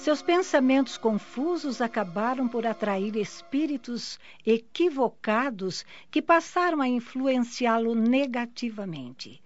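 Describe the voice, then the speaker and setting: Casting: female narrator, fairly high voice, measured storytelling for a radio serial, faint music underneath. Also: lively intonation. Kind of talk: scripted radio drama narration. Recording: dull lo-fi audio.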